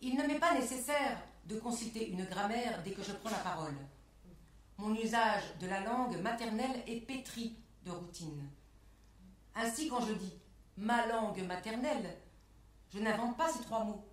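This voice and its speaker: A woman delivering a scripted spoken exposé in a measured, carefully enunciated way, setting phrases apart like quotations. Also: on the high side, lively intonation, recorded from a distance. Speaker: performer in a spoken-word performance lecture. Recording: echoey room, picked up from afar.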